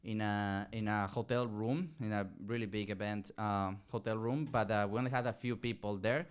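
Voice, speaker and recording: A man's voice: fairly low pitch, steady tone, moderate pace, clear, resonant tone. A man, an art director, describing his work in casual podcast chat, hesitant and full of fillers. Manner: hesitant, many fillers and restarts.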